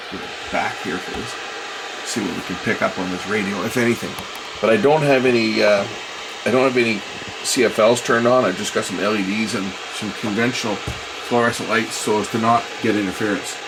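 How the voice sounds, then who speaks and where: Low-voiced man over loud background noise. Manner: muttering to himself as he works. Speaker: hobbyist presenter demonstrating a vintage radio. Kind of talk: casual hands-on demonstration.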